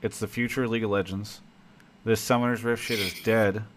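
A man's voice: fairly low pitch, fairly even intonation, fairly quick, heavy background noise. A man hosting a call-in esports talk show, joking and teasing between callers. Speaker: show host.

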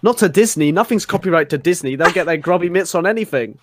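Guy with a slightly high voice, dramatic reading mixed with casual joking. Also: lively intonation, fast talker, dry recording. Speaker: podcast host and reader.

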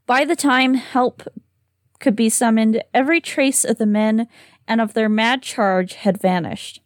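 A woman's voice: on the high side, no room sound, studio quality. A woman reading a horror story aloud with a slight lisp on s sounds, sometimes tripping over words. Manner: giggly, trips over words. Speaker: reader and co-host.

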